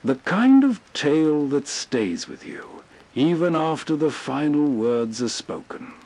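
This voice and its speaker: A man narrates as a late-night host of old radio tales. His delivery is very unhurried and intimate, with a lively rise and fall. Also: on the high side.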